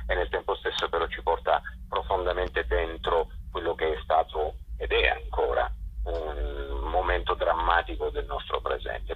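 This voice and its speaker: A man giving an unscripted radio interview, presenting a programme in long enumerating explanations with hesitant pauses. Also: deep voice, slightly rough. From Italy. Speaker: festival director being interviewed. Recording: muffled, band-limited phone line.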